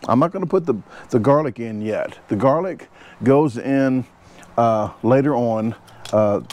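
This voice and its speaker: A man's voice: mid-range voice, darkish tone, a little hiss or hum. A man chatting casually while cooking at an outdoor campsite, cracking dry jokes between fillers. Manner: joking asides, rambling fillers. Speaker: outdoors vlogger. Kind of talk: casual camp cooking chat.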